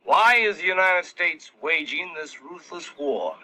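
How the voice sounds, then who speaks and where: A man's voice: high-pitched, animated, unhurried, pushed and bright, exaggerated voice. An actor playing a newspaper man questioning soldiers in a 1960s war film. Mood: pointed. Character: pointed male newspaper man asking questions.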